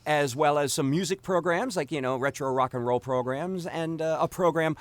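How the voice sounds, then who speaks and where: A man chatting casually and telling a story, with halting repetitions and acted-out reported conversations. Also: fairly high pitch, dry recording. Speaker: podcast cast member. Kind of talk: animated casual group chat, storytelling.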